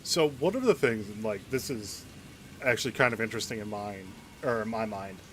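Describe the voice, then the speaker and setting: A man giving casual, analytical commentary on a game story, pausing as he thinks through his points. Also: on the low side, fairly bright tone, slight background noise.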